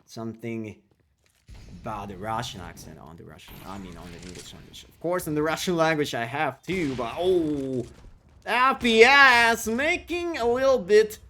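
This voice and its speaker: A man casting an esports match solo on a live stream, speaking non-native English with slightly broken phrasing and chatting with viewers between plays. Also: high-pitched. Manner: excitable play-by-play calls, chatty with viewers. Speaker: esports caster. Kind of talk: solo live game commentary and chat replies.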